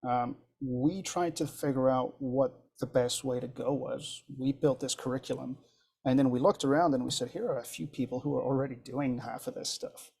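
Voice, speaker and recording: A man whose first language is French, leading an instructor training session in a relaxed, encouraging way over a video call. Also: mid-range voice, moderate pace, vocal fry, slightly rough, rough recording. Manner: relaxed, encouraging, thinks aloud with ums. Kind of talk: casual group video-call discussion.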